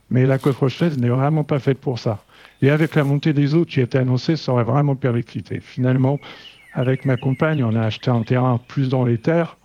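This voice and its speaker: A man's voice: mid-range voice, mellow. A man of fifty-nine giving an informal, conversational testimonial about himself. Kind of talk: relaxed first-person testimonial.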